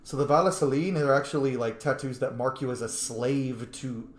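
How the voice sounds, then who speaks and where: A man's voice: mid-range voice, moderate pace. A man giving informal gameplay commentary, thinking aloud as he role-plays and sometimes swearing. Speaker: game streamer, role-player. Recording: roomy echo, faint background noise.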